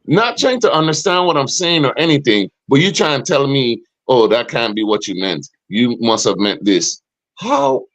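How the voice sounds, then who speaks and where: A middle-aged man with a deep, booming voice hosts a casual podcast. He speaks emphatically and gets animated when he pushes back with pointed questions. The sound is dry and close. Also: moderate pace, dry recording. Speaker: podcast host.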